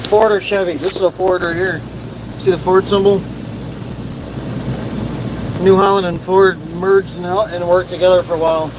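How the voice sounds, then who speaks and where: Blunt farmer over loud engine noise. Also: male voice, sits high, little pitch movement, moderate pace, pauses often. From Michigan. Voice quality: blunt, swears casually. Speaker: farmer livestreaming from the field. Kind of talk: casual live chat with viewers. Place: outdoors on a farm, near running machinery. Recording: loud machinery noise behind voice.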